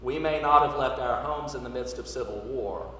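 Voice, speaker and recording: Priest preaching in a very echoey church. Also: male voice, mid-range voice, fairly bright tone. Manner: measured, deliberate delivery in short emphatic phrases with pauses. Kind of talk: sermon. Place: large reverberant church interior. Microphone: distant microphone. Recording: echoey church, distant mic, noisy hiss.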